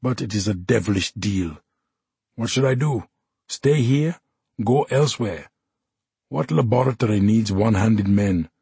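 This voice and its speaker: A man's voice: lowish voice, measured pace, vocal fry, pauses often, warm tone, husky, no echo, heavily acted. A man voicing an embittered, drunk one-handed soldier who talks in a formal, officious way and growls. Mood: despairing. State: drunk and unsteady.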